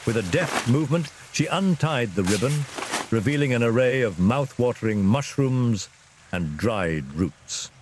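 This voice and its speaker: A man's voice: medium pitch, lively intonation, unhurried, background noise. A man narrating a scripted fantasy story in a dramatic storytelling style.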